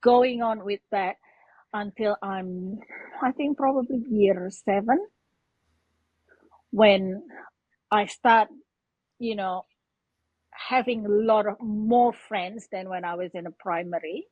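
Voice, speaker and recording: Woman, Indonesian accent, breathy husky voice, halting personal storytelling, dry lo-fi sound. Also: mid-range voice, no room sound. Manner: halting, non-native English phrasing. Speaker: podcast guest. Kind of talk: personal story told in conversation.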